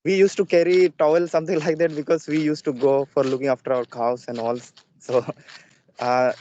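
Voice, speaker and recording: A man's voice: a little high, little pitch movement, a little fast, warm tone, dull audio, a little hiss or hum. A young man from Jharkhand whose first language is Santhali, a student practicing English on a video call, speaking hesitantly with many fillers and a polite "ma'am". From Jharkhand, India. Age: young adult.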